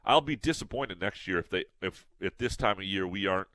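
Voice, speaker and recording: A man's voice: low-pitched, fairly quick, a bit bright, dry recording. A man co-hosting a sports podcast in casual chat, a little nasal, rambling with restarts and fillers. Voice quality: slightly nasal.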